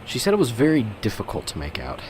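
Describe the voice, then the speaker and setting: A man playing an earnest, dutiful young police officer in an amateur radio drama, measured and by-the-book. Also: mid-range voice, wildly swooping pitch. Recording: clearly noisy, vehicle-like background ambience.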